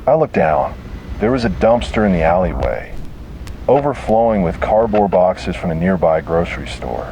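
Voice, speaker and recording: A man reads a scripted first-person horror story dramatically, in short deliberate phrases. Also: sits low, lively intonation, mellow, slight background noise, low-quality recording.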